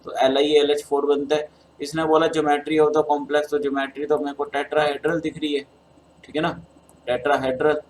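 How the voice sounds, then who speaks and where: A man's voice: fairly high pitch, slight background noise, rough recording. A man with a Hindi accent and a sore throat, teaching chemistry as he works through an exam paper step by step and calling his students beta. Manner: addresses students as beta, talks through steps. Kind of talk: exam solution lecture.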